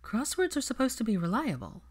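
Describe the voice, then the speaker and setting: A woman's voice: a little high, wildly swooping pitch, brisk, pitched above the performer's own voice. A woman voicing a spirited, inquisitive woman in a story reading, questioning and emphatic. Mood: exasperated.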